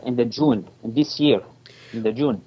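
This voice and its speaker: A man from Iraq, speaking English as an as a caller, halting and searching for words. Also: medium pitch, breathy edge, muffled recording. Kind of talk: casual chat.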